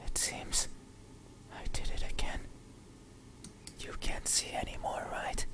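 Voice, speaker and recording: An actor voicing an eerie, menacing yet sorrowful stranger in a horror game, in a hushed, deliberate whisper. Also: lightly acted. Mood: quietly menacing.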